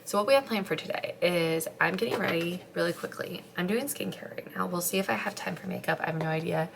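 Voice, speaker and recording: A young woman vlogging as a stay-at-home mom, chatting casually and rambling with lots of "like", her voice slightly hoarse and congested after being sick, sometimes dropping to a soft near-whisper. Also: fairly low pitch, fast talker, noisy background. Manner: chatty, rambling, heavy use of "like". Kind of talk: casual chat to camera. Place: home, getting ready.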